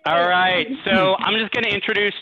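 A man's voice: high-pitched, steady tone, a little fast. A man hosting an online charity event, making announcements to the audience over a video call. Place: indoor room, on a group video call. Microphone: video-call microphone. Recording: dull, muffled video-call audio.

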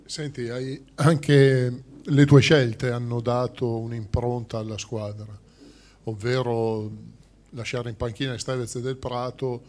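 A man's voice: medium pitch, little pitch movement, takes it slowly, darkish tone. A man, a sports journalist, putting long conversational questions at a press conference. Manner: conversational, explaining his point at length.

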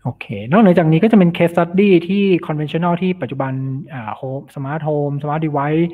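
A man's voice: fairly high pitch, steady tone, slow. A man giving an informal technical presentation as a cybersecurity specialist, explaining things and mixing in English technical terms. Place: online meetup over slides. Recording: thin phone-like online meeting audio.

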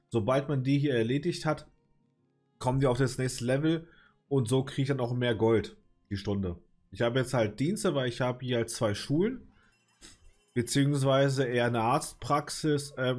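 A man with a cold commenting casually on his city-building game, hesitant and rambling with many fillers, clearing his throat now and then. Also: mid-range voice, darkish tone. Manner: rambling, hesitant, lots of filler, mild swearing. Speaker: let's play gamer.

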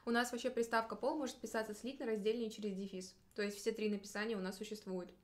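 A young woman speaks very quickly in a studio. She explains rules like a friendly tutor, chatty and encouraging, in a casual conversational style. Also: mid-range voice, fairly even intonation. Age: 20s. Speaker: Russian language teacher. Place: small indoor studio.

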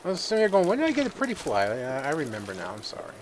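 A man who is feeling a little sick, giving relaxed let's-play commentary and thinking aloud with plenty of fillers. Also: on the high side, rapid-fire, background noise. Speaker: gaming video host.

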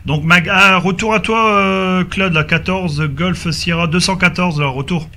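A man chatting casually and haltingly as an amateur radio operator, heard over a two-way radio. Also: sits high, steady tone, heavy background noise. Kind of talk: casual radio group chat. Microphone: radio microphone.

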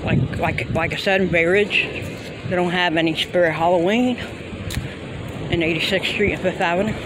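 A woman's voice: lowish voice, normal speed, noisy background. A woman vlogging on a handheld phone as she walks from a parking lot into a Halloween store, rambling and hesitant with many fillers. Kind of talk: casual walk-through vlog. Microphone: handheld phone camera. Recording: loud music playing behind. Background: loud store music.

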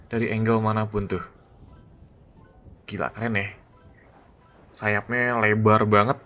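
A man giving excited, slangy gameplay commentary as a gaming YouTuber. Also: fairly low pitch, slow. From Indonesia. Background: background music.

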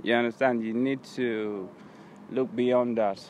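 A man's voice: medium pitch, fairly even intonation, measured pace, darkish tone, highs cut off. A young man with a Nigerian accent casually explaining his view in an outdoor interview. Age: young adult. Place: outdoors beside a campus road. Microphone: handheld device held by interviewer. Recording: faint outdoor background noise.

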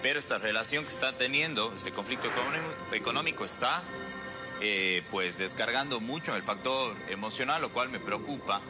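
A man, giving counselling advice on a TV panel in a measured, explanatory way. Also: medium pitch, lively intonation, music in the background. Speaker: psychologist panelist. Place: TV studio.

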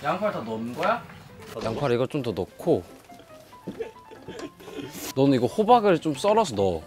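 A man's voice: medium pitch, big pitch swings, normal speed, darkish tone. A young man on a reality show bossily directing the cooking step by step at an outdoor camp. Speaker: cast member cooking at camp. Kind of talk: casual reality-show chat. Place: outdoor glamping site by a tent. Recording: background music under speech.

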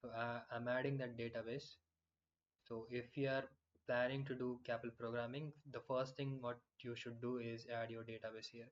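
A man explaining software in a screen-recorded tutorial, with frequent fillers and restarts. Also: mid-range voice, flat delivery, moderate pace, muffled recording, a little hiss or hum.

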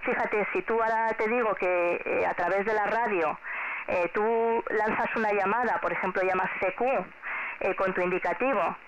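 A woman's voice: medium pitch, steady tone, normal speed. Spanish woman on a noisy ham radio. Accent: Spanish from Spain. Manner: friendly, encouraging, repeats herself. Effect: two-way shortwave radio transmission. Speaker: amateur radio operator. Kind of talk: casual radio contact chat addressed to a child. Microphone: heard through a radio transceiver speaker. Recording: noisy, muffled amateur radio signal.

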